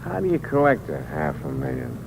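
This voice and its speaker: A man's voice: rumbling low, wildly swooping pitch, fairly quick, creaky, exaggerated voice. An actor playing a hard-boiled drifter who tells his own story in terse, wry narration. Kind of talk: 1950s radio drama acting. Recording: noisy old radio recording.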